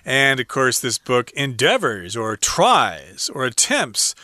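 A man's voice: mid-range voice, sing-song, slow, bright, forceful tone, no echo, studio quality. A man co-teaching an English lesson. He casually restates each point in plain, everyday words. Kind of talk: explaining a reading passage.

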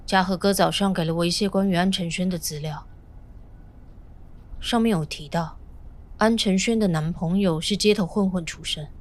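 A woman's voice: fairly low pitch, fairly even intonation, moderate pace, natural voice. A woman playing a reserved teenage boy in a suspense radio drama, speaking in a terse, understated way. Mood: reserved, matter-of-fact. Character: reserved teenage boy who sees spirits.

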